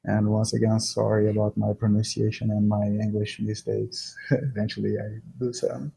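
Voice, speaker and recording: A man's voice: fairly low pitch, little pitch movement, moderate pace, creaky, dark tone, slight background noise, low-quality recording. A man with a Brazilian Portuguese accent, a native Portuguese speaker, explaining as an academic interview guest and searching for words.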